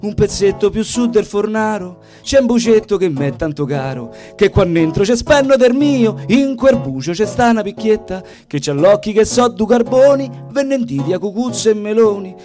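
A man's voice: high voice, expressive, moderate pace, hardly any pauses, dark tone. A man with a Roman accent delivering a theatrical, comic stage monologue in a colloquial, rhythmic storytelling way. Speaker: stage performer, singer. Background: plucked guitar music.

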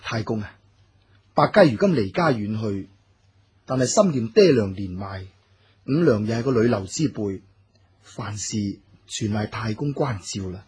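An actor playing an earnest, devoted young scholar in a Cantonese radio drama, speaking formally and courteously. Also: male voice, lowish voice, lively intonation, a little slow, pauses often, darkish tone, slightly rough, exaggerated voice. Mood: humble, grateful. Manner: formal, courteous, literary phrasing. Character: earnest, devoted young scholar, loving husband and dutiful son.

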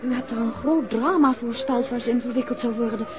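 An actress playing a detective's inquisitive, affectionate wife in a 1960s radio drama, well-spoken and theatrical. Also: female voice, on the high side, a little fast, natural voice. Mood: politely probing. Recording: dull old radio recording, crowd noise.

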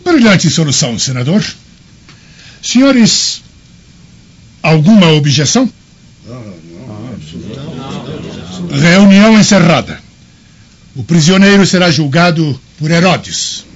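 A man playing an authoritative, troubled Roman governor in a radio drama, with formal, deliberate delivery. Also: on the high side, sing-song, slight background noise. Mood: commanding. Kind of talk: dramatized radio play acting. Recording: muffled lo-fi radio drama recording.

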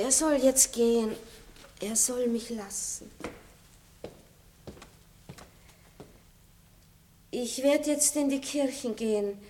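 An actress playing a gracious, aging aristocratic lady in a 1960s radio play, speaking softly with a tinge. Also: fairly high pitch, natural voice. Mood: brisk, commanding. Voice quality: soft, measured, melancholy. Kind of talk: 1960s radio drama acting.

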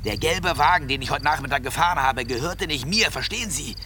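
An actor playing a domineering wealthy husband in a radio play, brusque, insistent and commanding. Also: male voice, on the high side, animated, brisk, a crowd in the background, natural voice. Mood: urgent, commanding. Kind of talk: naturalistic radio play acting.

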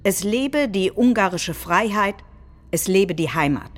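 A woman's voice: medium pitch, lively intonation, measured pace, close to an everyday voice. A woman playing a combative nationalist head of government, delivering a political speech in a staged reading, solemn and declamatory. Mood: rousing, combative.

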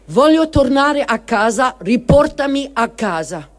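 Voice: high voice, sing-song, unhurried. A performer voicing a bedridden, reproachful mother in her eighties, complaining insistently in a radio reading. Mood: pleading. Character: bedridden 83-year-old mother, plaintive and reproachful.

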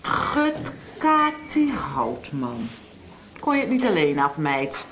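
A woman's voice: low-pitched, huge pitch swings, takes it slowly, background noise, exaggerated voice. An actress playing a brazen, scheming female blackmailer with a taunting, flippant manner in a radio soap. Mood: flippant, defiant. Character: brazen, scheming woman blackmailer, mocking, hard-drinking.

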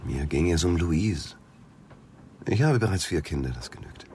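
A proud, middle-aged aristocrat in a radio drama, with an exceptionally deep voice. He is curt and defensive, breaking into halting indignation when pressed, over faint background ambience. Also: animated, a little mellow, a little hiss or hum. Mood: curt, guarded. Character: proud married aristocrat suspect, defensive, tersely denying. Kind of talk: German radio drama acting.

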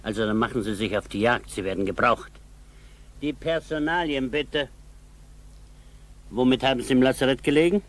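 An actor playing a brusque, blustering military doctor in a 1960s German radio play. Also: male voice, mid-range voice, normal speed, long pauses, lightly acted. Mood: brisk. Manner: curt, questioning, blustering. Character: brusque military doctor, incredulous but hearty.

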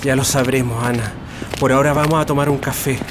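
An actor playing a heartfelt, hopeful Chilean exile with a Chilean accent in a radio drama, music behind him. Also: male voice, mid-range voice, steady tone, brisk, background noise, lightly acted. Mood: hopeful, pensive. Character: Chilean male exile in Sweden, emotional, hopeful.

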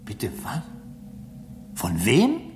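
A man's voice: medium pitch, extremely slow, a little hiss or hum, exaggerated voice. An actor in a radio drama playing an impatient, sarcastic human crewman on a space station. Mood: mocking. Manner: impatient, mocking, excitable. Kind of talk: German radio drama acting.

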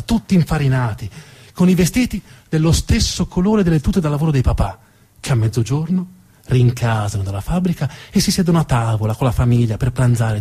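A man narrating a theatrical monologue with expressive, dramatic storytelling. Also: mid-range voice, slightly rough. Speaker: narrator and actor.

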